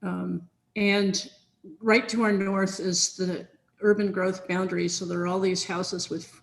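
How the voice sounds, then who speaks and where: A woman's voice: fairly low pitch, level tone, unhurried, gravelly, low-quality recording. A woman chatting casually over a video call from her home office, rambling with lots of fillers and restarts. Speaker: software team researcher in a chain conversation. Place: home office with big sunny windows. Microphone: computer on a video call.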